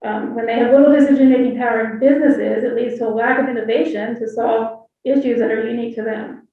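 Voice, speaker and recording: A woman panelist giving a prepared, measured presentation read from notes. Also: on the high side, steady tone, gravelly. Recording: distant, phone-like, echoey room.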